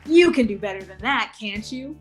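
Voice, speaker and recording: Woman acting a high-voiced peppy studio announcer. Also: animated, moderate pace, music in the background, a crowd in the background, close to an everyday voice. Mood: peppy, coaxing. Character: peppy TV studio warm-up announcer coaxing an audience. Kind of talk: staged play reading. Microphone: video call.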